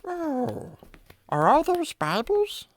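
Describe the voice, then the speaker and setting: Excitable childlike animal sidekick, wildly animated. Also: female voice, high voice, slow pace, full character voice. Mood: astonished. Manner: wide-eyed, exclamatory, slangy. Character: excitable naive young talking animal sidekick, childlike and curious. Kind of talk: children's radio drama acting.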